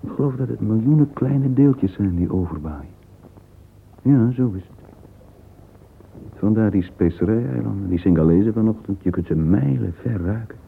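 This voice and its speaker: An actor playing a musing, sensual, curious middle-aged man in a radio drama monologue, thinking aloud in halting murmurs. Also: lowish voice, vocal fry. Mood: animated, curious. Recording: muffled phone-like old recording, faint hiss.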